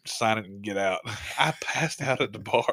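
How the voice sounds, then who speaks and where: A man's voice: on the low side, normal speed, no echo, studio quality. A man hosting a casual chat, telling a story with lots of laughter. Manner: storytelling, laughs often, lively. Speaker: host.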